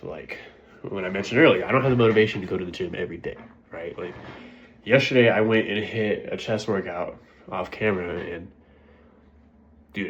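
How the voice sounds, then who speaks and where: Stuffy-nosed guy, lowish voice, chatty pep talk to viewers on a muffled recording. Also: slight background noise. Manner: conversational, keeps asking "right?". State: congested. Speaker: vlogger. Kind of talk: casual motivational talk to camera.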